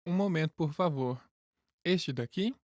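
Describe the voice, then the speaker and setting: A man's voice: fairly high pitch, measured pace, dry recording, clean studio sound, natural voice. A man voicing a polite, helpful bookshop clerk in a scripted listening dialogue for learners. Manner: polite, brief replies.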